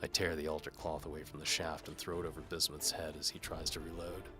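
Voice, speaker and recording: A man narrating an audio drama in the first person as a world-weary mercenary detective, with a wry, deadpan, gravelly delivery. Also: rumbling low, fast. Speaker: narrator and lead actor. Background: music.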